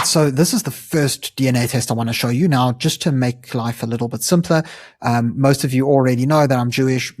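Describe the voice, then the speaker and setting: A man's voice: medium pitch, a little mellow, slightly rough, no echo, clean studio sound. A South African man hosting his own channel, explaining things earnestly in a conversational way, with frequent ums and uhs. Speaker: channel host. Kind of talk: explanatory commentary to camera.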